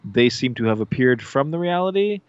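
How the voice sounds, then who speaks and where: A man casually recapping a TV episode, rambling with many fillers and animated retelling. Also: medium pitch, fairly quick, clean tone, no echo, lo-fi sound. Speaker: podcast host recapping a TV episode. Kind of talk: casual chat.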